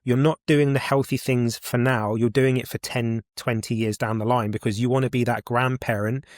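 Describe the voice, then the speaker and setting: A man's voice: mid-range voice, fairly even intonation, normal speed, dry recording. Emphatic man in his forties, dry sound. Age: 40s. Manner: enthusiastic, emphatic, repeats points. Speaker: podcast host. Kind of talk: casual podcast conversation.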